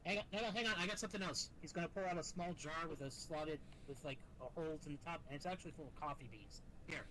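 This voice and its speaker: A man with a fairly high voice plays in a casual online roleplay, switching between talk and narrated actions. His voice-chat mic is clearly noisy. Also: fast talker, pushed and bright. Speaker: roleplay player. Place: online VRChat session.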